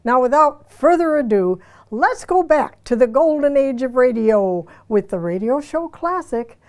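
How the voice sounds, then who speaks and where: A woman's voice: high voice, animated, measured pace, darkish tone. An older woman, a community theater founder, delivering a scripted welcome and appeal. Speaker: theater troupe founder, presenter.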